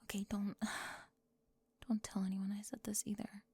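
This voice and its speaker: Woman, mid-pitched, gravelly edge, dry close whispers, teasing roleplay delivery. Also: completely flat delivery, a little slow, dry recording. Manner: teasing, sharp-tongued, moving between whispers and soft speech. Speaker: ASMR roleplay performer.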